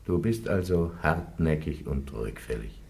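An actor playing a cold, stern inquisitor, questioning in a measured way in a radio play. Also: male voice, very deep voice, animated, darkish tone, full character voice. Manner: measured, interrogating. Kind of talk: German radio play acting.

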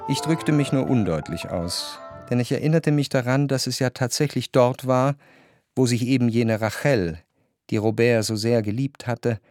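An actor playing a reflective, observant gentleman narrator in a radio drama, telling it in a measured literary manner. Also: mid-range voice, lightly acted. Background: music.

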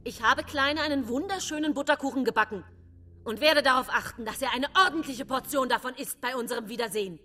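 A woman's voice: high-pitched, fairly quick, sharp tone. An actress playing a false-sweet woman poisoner in a radio play monologue. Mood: sly, sweet.

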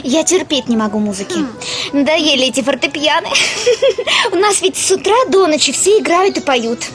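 An actress playing a capricious, lively teenage girl in a radio drama, outspoken, pert and bubbly. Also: high-pitched, moderate pace, faint music behind. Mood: pert, playful. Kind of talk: theatrical Russian radio drama.

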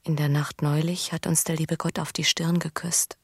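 An actress playing an embittered, menacing lover in a German radio drama, with a breathy, husky half-whisper and hushed intensity. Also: female voice, low voice, level tone, moderate pace, no echo, studio quality, full character voice. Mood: rueful. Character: embittered, menacing female lover, wounded and cold.

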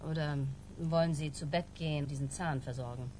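A woman's voice: low voice, steady tone, a little fast, background noise, close to an everyday voice. An actress playing a middle-aged mother in a radio drama, flirtatious and gushing, with coy, theatrical, teasing delivery. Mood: airy, chatty.

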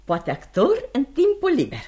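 A woman's voice: sits high, huge pitch swings, dark tone. An actress playing a middle-aged, genteel and chatty landlady, effusively hospitable, in Romanian radio theatre. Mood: amused, enthusiastic.

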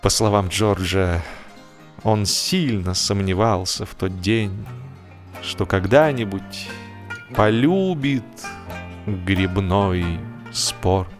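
A man narrating a humorous literary story in an expressive, theatrical way, with deliberate drawn-out pauses. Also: low-pitched. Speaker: audiobook narrator. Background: music.

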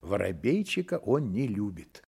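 A man's voice: on the low side, sing-song, measured pace, creaky, a little mellow, slightly rough, full character voice. An actor as a male storyteller, reading scripted narration in a radio drama. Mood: narrating.

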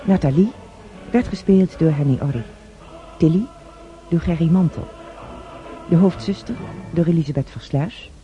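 A male announcer reading scripted radio drama credits in a formal, measured way. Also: fairly high pitch, soft background music. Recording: muffled old radio recording.

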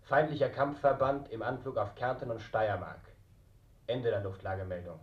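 A stern wartime newsreader in a radio drama, delivering official warnings. The voice is mid-pitched, formal and clipped, with no personal warmth. It comes dull and echoey out of an old radio set. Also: male voice, moderate pace. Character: official wartime radio announcer reading air-raid warnings. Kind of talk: 1940s German radio announcement.

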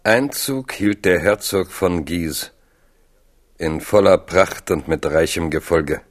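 A man narrating a historical radio play as a measured storyteller. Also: low voice.

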